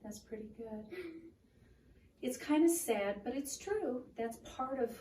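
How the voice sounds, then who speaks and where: A woman reads aloud for children in a slow, gentle, teacherly manner. Her tone is mellow and she pauses to explain things. The recording is roomy, with some noise in the room. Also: on the high side, animated, far from the mic. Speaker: children's storytime reader. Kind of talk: read-aloud picture book with explanations for a child.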